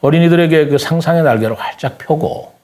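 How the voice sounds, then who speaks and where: An older man speaking as an interview guest, giving his opinions earnestly in a deliberate, formal and polite way. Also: a little high, darkish tone.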